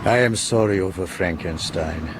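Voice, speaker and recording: Actor as a low-voiced ship's crewman. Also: normal speed, lightly acted. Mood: subdued. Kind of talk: radio drama acting. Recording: loud wind noise behind.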